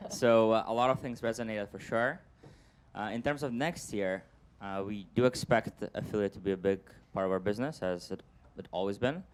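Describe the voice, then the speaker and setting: A man's voice: lowish voice, normal speed, a little hiss or hum. A man speaking on an industry panel, upbeat and analytical. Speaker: panelist, performance marketer. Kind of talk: panel discussion answer.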